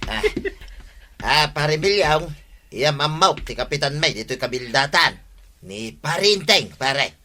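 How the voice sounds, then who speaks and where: A man's voice: a little high, animated, unhurried, bright, forceful tone, slight background noise, full character voice. A man playing a genial village farmer and drinking buddy in an Ilocano radio comedy. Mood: welcoming. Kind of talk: comedic radio drama acting.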